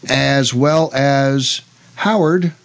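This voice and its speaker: A man's voice: medium pitch, drawn-out words, vocal fry. An American man hosting a radio show, talking casually and making announcements.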